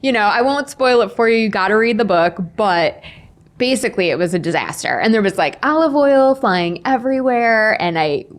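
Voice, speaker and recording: A woman's voice: fairly high pitch, moderate pace. A woman in her forties, a guest author on a podcast, casually telling personal stories and acting out the dialogue. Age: 40s. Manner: animated, acts out quoted dialogue. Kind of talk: casual anecdotal storytelling. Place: indoors, in-person podcast recording.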